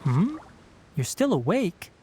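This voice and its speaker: A man's voice: high voice, sing-song, slow pace, noisy background, exaggerated voice. A man playing a young, knowledgeable forest ranger in a video game, instructive and slightly wry. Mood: calm, practical. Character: young male forest ranger, knowledgeable, dry, gently strict. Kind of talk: video game character acting.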